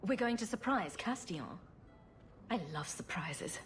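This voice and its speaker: Game heroine played by a woman: quick-witted rogue, very animated mid voice, sarcastic and playful, slight noise behind. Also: medium pitch, natural voice. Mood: playful sarcasm. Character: witty, sarcastic female rogue adventurer. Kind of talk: dramatic video game acting.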